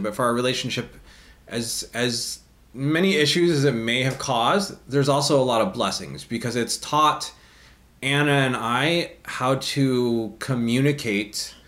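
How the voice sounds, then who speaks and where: A man co-hosting a casual podcast, speaking earnestly and thoughtfully with frequent filler pauses. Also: mid-range voice, fairly bright tone. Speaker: podcast co-host.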